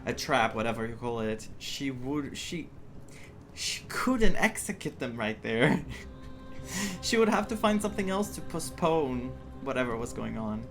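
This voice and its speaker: An excitable streamer comments casually on a story game. The voice sits at a middle pitch and leans dramatic, repeating phrases for emphasis, with faint game music behind. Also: a little slow. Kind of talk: casual gameplay reaction commentary.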